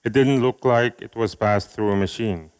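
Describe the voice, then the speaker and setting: A man from Syria whose first language is Arabic, giving a slow, measured reading of a speech and a poem at an event. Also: lowish voice, darkish tone, clean tone. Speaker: speaker at an event.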